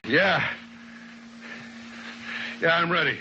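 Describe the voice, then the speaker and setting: An anguished escaped convict in an old radio thriller, tough but close to breaking. His delivery swings widely in pitch, over a clearly noisy 1950s recording. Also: lively intonation, drawn-out words, dull audio, heavily acted. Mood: subdued, pleading. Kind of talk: 1950s radio drama acting.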